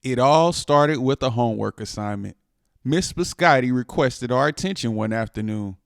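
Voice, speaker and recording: Animated man reading a storybook aloud. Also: medium pitch, creaky, dry recording, studio quality. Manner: expressive, dramatic emphasis with drawn-out words. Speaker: storytime reader. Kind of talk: animated children's picture book read-aloud.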